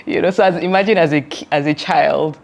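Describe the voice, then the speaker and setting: A woman's voice: sits low, a little fast, vocal fry. A Nigerian woman from Nigeria, a founder speaking as an interview guest, telling reflective stories in an earnest manner, laughing often and filling with "like" and "you know". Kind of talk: reflective conversational storytelling.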